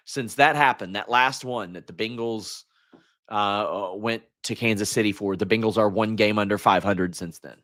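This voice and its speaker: A man hosting a solo sports talk stream, hoarse and frustrated, venting like a fan and often restarting his sentences. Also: lowish voice, fairly bright tone. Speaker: host.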